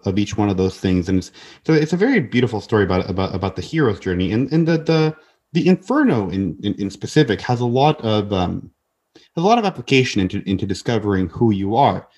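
A man's voice: on the low side, big pitch swings, fast talker, darkish tone. A man in his twenties, a podcast guest talking earnestly in casual conversation, often restarting and repeating words. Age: 20s.

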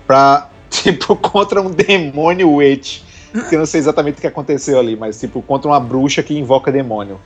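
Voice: medium pitch, brisk, slightly rough. A podcast co-host with a Brazilian accent, chatting casually in a blunt, exasperated way and swearing freely. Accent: Brazilian Portuguese.

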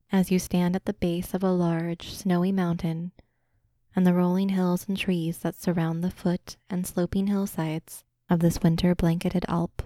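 A woman narrating a scripted guided imagery meditation in a gentle, calming, unhurried manner. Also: on the low side, dry recording, studio quality.

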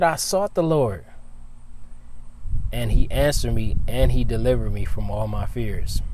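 A man's voice: medium pitch, darkish tone, slight background noise. A man in his late twenties preaching an informal devotional sermon outdoors, repeating phrases and often saying "man". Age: 20s.

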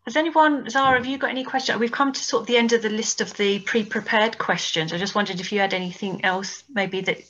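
A woman with a medium-pitched voice on a dull, lo-fi recording. She informally moderates a discussion as its host. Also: fairly even intonation, fast, hardly any pauses, fairly bright tone. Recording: dull, lo-fi audio.

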